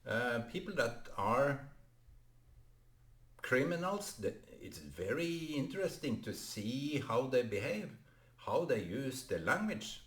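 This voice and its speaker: A man whose first language is Norwegian, talking to camera in a halting vlog monologue full of fillers and restarts. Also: mid-range voice, big pitch swings, a bit bright, echoey room. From Norway. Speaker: vlogger. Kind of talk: unscripted solo vlog monologue.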